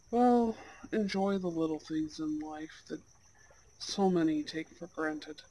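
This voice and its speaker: Male actor as young Goth blind date: higher register, slow delivery, sincere, hesitant, intimate ASMR roleplay. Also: a little hiss or hum, rough recording. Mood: relaxed, reflective. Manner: soft-hearted, hesitant, sincere.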